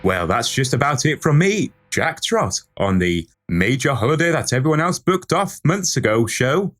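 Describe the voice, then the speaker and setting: A man's voice: medium pitch, big pitch swings, moderate pace, fairly bright tone. A man playing a cheery, eager young would-be radio DJ in an audio pantomime.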